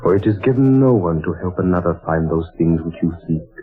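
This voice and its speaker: A wise older stranger with a very deep, unhurried voice. He delivers every line as a solemn pronouncement, patient and measured. The sound is thin and boxy, like an old radio broadcast with faint music beneath. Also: lively intonation, narrow, tinny audio. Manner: slow, grave, measured pronouncements. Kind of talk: 1940s radio acting.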